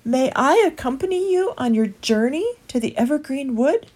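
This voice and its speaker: As a woman reads aloud, a jittery young rat stammers in a raised, husky voice, tight with emotion. He sounds anxious and eager to please. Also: high-pitched, expressive, normal speed, a little mellow, pitched above the performer's own voice. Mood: anxious, pleading.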